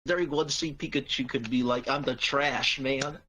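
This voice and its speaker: A man with a medium-pitched voice. He speaks casually, as a panelist in an informal group discussion. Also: steady tone, moderate pace, noisy background. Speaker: podcast panelist.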